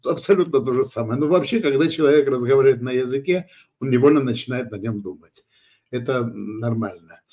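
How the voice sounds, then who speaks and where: A man from Georgia hosting an interview, reading out viewers' questions with a brisk, friendly, joking manner. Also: medium pitch, warm tone. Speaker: interviewer host.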